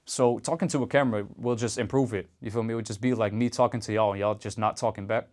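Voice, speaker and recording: A man's voice: fairly low pitch, steady tone, fast talker, a little mellow. A young Dutch man speaking English with a Dutch accent, rambling in a casual, slangy vlog to camera. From Netherlands. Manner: rambling and laid-back, fillers, restarts, slangy. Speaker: vlogger.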